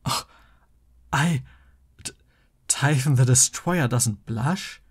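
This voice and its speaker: A flustered, doting supervillain boyfriend, played by a man for an ASMR roleplay. He speaks slowly and tenderly in a soft, breathy voice with a dark, mellow tone. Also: medium pitch. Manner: slow, tender, bashful.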